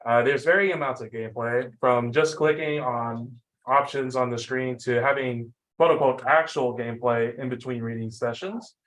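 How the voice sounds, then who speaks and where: A man's voice: mid-range voice, fairly even intonation, normal speed, fairly bright tone, poor audio. A young man presenting a student workshop in an informal, self-deprecating, rambling way, running on very little sleep. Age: young adult. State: sleep-deprived. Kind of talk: informal slide lecture.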